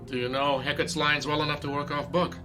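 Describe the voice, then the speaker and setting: A man's voice: fairly high pitch, level tone, fairly quick, lightly acted. A man playing a stern theater director. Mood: commanding. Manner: commanding, clipped. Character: demanding male theater director, strict. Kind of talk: radio drama acting. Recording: radio drama with faint music.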